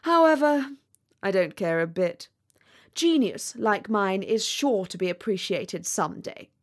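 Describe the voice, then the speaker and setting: A woman's voice: mid-range voice, expressive, a little slow. A woman voicing a vain, haughty male firework rocket in a storybook, with a pompous, condescending manner. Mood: smug, boastful. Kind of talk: storybook character reading.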